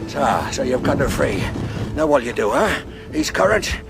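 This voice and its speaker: A man's voice: medium pitch, very animated, moderate pace, gravelly, loud music behind the voice. A man playing a wounded, wily old pirate in a radio drama, taunting and menacing in salty seafaring dialect. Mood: gruff teasing.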